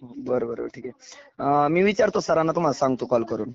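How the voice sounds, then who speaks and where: A man's voice: medium pitch, lively intonation, normal speed, vocal fry. A man with a Maharashtrian accent in a casual phone conversation, answering in brief, noncommittal replies. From Maharashtra, India. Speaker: actor answering a phone call. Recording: dull lo-fi phone call.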